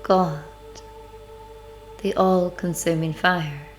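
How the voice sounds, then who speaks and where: A woman leading a devotional guided meditation, speaking in measured, deliberate phrases with pauses. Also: on the low side, fairly even intonation. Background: background music.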